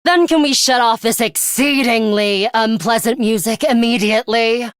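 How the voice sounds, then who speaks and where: A woman voicing a cold, aloof young woman fighter in a video game, with a composed, formal, deadpan and disdainful manner and a bloodthirsty streak. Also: a little high, moderate pace, no echo, clean studio sound, lightly acted. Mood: cool, impatient. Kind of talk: English video game voice acting.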